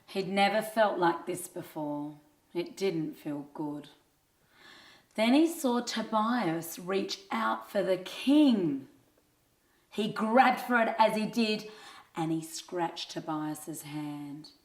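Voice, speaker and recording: Slow, lowish-voiced woman reading a children's story. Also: lively intonation. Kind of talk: scripted children's story narration. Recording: roomy, echoing sound.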